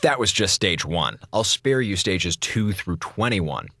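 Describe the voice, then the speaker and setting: An actor playing a flippant, sarcastic slacker communications officer on a space station in an audio drama. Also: male voice, deep voice, expressive, a little slow, very noisy. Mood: wry, sarcastic.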